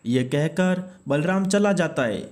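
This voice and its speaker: A man tells a cartoon story in a steady, scripted narration with a slightly raised pitch. Faint music sits behind his voice. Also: unhurried.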